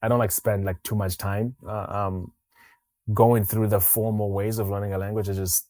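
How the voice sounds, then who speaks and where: A man from Mozambique hosting a podcast interview, talking in a casual, halting way with frequent restarts. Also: lowish voice, level tone, warm tone, no room sound. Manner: halting, many restarts and fillers. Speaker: podcast host.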